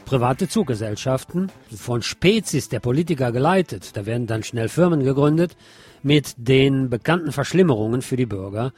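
A man's voice: medium pitch, animated, normal speed, a little mellow, breathy edge. A man hosting a radio news programme, reading reports and adding his own commentary. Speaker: radio host.

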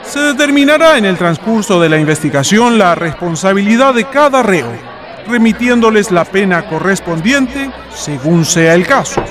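A man acting a stern Chilean military prosecutor in a radio play. His voice is high for a man and formally declamatory, laying down charges with authority over the murmur of a crowd. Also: animated, normal speed. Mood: solemn, accusing. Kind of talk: historical radio drama acting.

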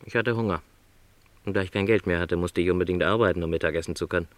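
Man acting middle-aged travelling salesman, low voice, lonely, resigned, gentle dreamer, reflective and apologetic, dry studio radio drama. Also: fast talker, dry recording, close to an everyday voice. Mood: resigned, thoughtful. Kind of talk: literary radio play dialogue.

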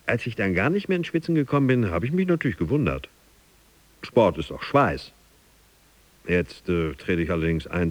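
An actor playing a boastful, competitive gym-goer, muttering clipped slangy monologue with English buzzwords in a radio drama. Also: male voice, deep voice, dry recording.

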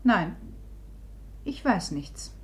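An actress playing Nastasya, a proud woman, speaking in a cool, quiet, disdainful way in a 1950s radio play. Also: mid-range voice, big pitch swings, very slow, exaggerated voice. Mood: cool scornful.

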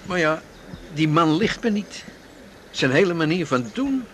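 A man's voice: fairly high pitch, lively intonation, unhurried, a little hiss or hum. An actor playing an amiable, inquisitive male companion in a naturalistic radio drama. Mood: frank.

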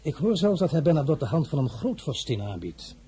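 An actor playing an imperious, boastful French emperor in a historical radio drama, pompous and declamatory, by turns mocking and threatening. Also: male voice, on the high side, very animated, brisk, dark tone, breathy edge, full character voice. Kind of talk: Dutch-language historical radio drama acting.

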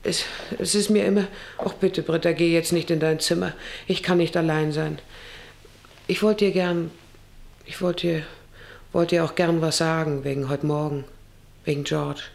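A woman's voice: low-pitched, steady tone, normal speed, creaky, darkish tone. A woman acting an anxious, pleading rancher's wife and mother in a German radio drama, hesitant and fretful. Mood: uneasy, apologetic. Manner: hesitant, faltering, fretful questioning.

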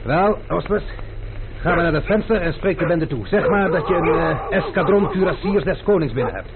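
An actor playing an unruffled, sardonic gentleman who loves his food, in a historical radio play. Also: a little high, expressive, normal speed, vocal fry, a loud crowd. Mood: commanding. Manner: sardonic, lordly, nonchalant. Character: wry, unruffled gourmand gentleman master. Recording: tinny, band-limited old radio drama with noise.

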